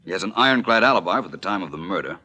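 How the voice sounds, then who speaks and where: An actor playing a cool, brisk, logical amateur detective in 1940s radio style. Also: male voice, low voice, expressive, pushed and bright, exaggerated voice. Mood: wry, assured.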